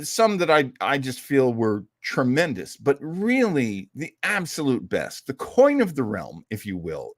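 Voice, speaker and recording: A man's voice: mid-range voice, no room sound, clean studio sound. A man hosting an old-time radio program, giving casual commentary in a chatty, folksy, opinionated way.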